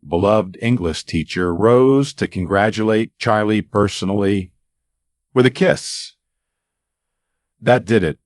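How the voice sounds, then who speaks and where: A man narrating a scripted anecdote as a storyteller, with dramatic pauses and deliberate, emphatic phrasing. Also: lowish voice, no echo, studio quality. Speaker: radio-style storyteller.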